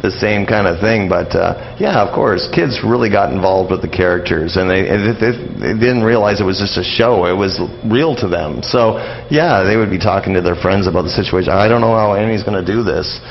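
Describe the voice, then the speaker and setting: A middle-aged man with a very low, creaky voice talks as a radio interview guest. He explains and reminisces casually, rambling and repeating himself in halting runs. The sound is dull and slightly noisy. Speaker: interview guest, old-time radio enthusiast.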